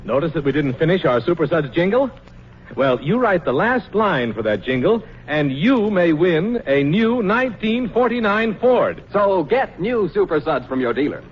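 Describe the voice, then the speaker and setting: High-pitched vintage American radio announcer man. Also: very animated, normal speed, husky. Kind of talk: 1940s radio advertising announcement. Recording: very noisy, muffled vintage radio broadcast.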